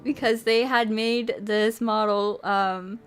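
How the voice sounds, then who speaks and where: A woman's voice: a little high, fairly even intonation, slow pace, music in the background. A young woman streaming, chatty and playful, moving between casual chat and reading a visual novel aloud. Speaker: streamer and host.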